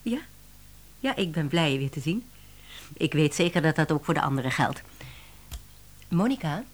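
An actress playing a wry, harried middle-aged woman editor in a Dutch radio play, expressive and exclamatory. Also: sits low, moderate pace. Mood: brisk, welcoming.

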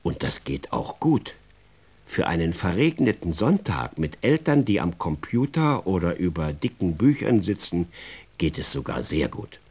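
A man playing the narrator of a children's radio play, telling the story in a measured, wry way. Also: deep voice, very animated, a little mellow, husky, heavily acted.

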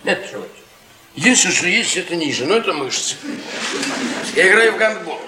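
Weary middle-aged professor, fairly high pitch, theatrical, mocking, stretched words, radio play, echoing room. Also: male voice, big pitch swings, brisk, noisy background. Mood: wry, goading. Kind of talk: Russian stage-style radio play acting.

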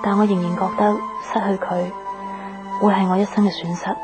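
A mid-pitched female voice over clear music, an actress as a heartbroken young woman mourning lost love. It is a confessional radio drama monologue. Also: little pitch movement, brisk, close to an everyday voice. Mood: sorrowful. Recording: prominent music behind voice.

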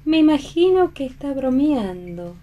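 A woman playing a skeptical, impatient theatre stagehand in a radio play, with a measured, questioning delivery. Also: high voice, very animated, muffled recording.

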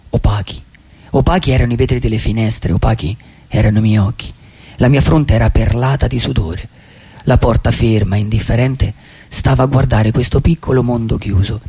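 An actor playing a lonely, lovelorn vagabond poet in a theatrical radio monologue, with a husky voice and halting, repeated phrases. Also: male voice, mid-range voice, dark tone. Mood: brooding, ironic.

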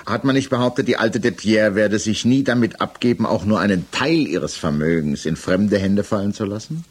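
A low, husky voice of a stern magistrate, formal and insistent. He presses each question like an accusation, in the style of sixties German radio drama. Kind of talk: 1960s German radio play acting.

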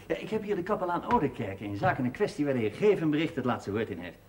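Curt company boss in old tv drama, animated pitch, bit of hiss. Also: male voice, quick pace. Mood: affable, confident. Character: brisk, curt mining company boss, businesslike and impatient. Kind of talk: naturalistic 1970s television drama acting.

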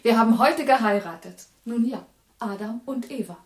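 A kind young bride calms a nervous visitor with gentle reassurance, her voice a little higher than most women's, as an actress plays her in a radio drama. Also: moderate pace, natural voice. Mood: friendly. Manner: soothing, gently helpful. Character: young newlywed woman, kind and calming. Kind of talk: 1980s radio play acting.

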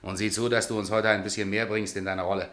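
A man's voice: lowish voice, little pitch movement, fast, a bit bright, lightly acted. An actor playing a teasing, bossy theatre director and charming womaniser in a radio drama, with a wry, patronising way of talking. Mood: mocking, amused.

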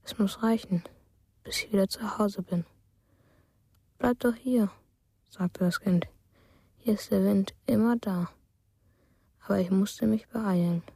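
A wistful woman narrating in a slow, dark, mid-pitched voice. Her telling is quiet and measured, recorded close and dry for radio drama. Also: fairly even intonation, vocal fry, husky, dry recording, exaggerated voice. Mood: wistful, dreamy. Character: wistful woman narrating a lonely remembered journey.

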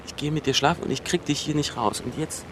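An actor playing a bitter, obsessive ex-boyfriend in a naturalistic radio drama, speaking insistently in halting, repetitive bursts. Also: male voice, a little high, noisy background, lightly acted. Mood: wounded, pleading. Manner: insistent, accusatory, halting with repeated words.

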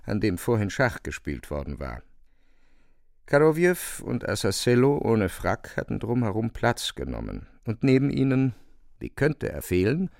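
A man's voice: fairly low pitch, gravelly, dry recording, studio quality. A man narrating a literary radio play from a script as its storyteller.

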